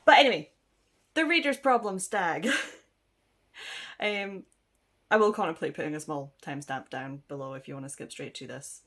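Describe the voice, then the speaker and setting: Sniffly, chatty woman with a low voice. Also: moderate pace, pauses often, fairly bright tone. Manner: chatty, self-deprecating, laughs often, trails off with ums. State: sniffly with a mild cold. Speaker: book vlogger. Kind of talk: casual rambling chat to camera. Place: indoors at home.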